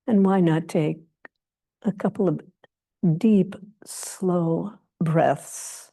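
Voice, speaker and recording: A woman guides a meditation in a mellow, fairly low voice. She gives gentle instructions in short phrases and leaves long pauses between them. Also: creaky. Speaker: meditation teacher leading a guided meditation.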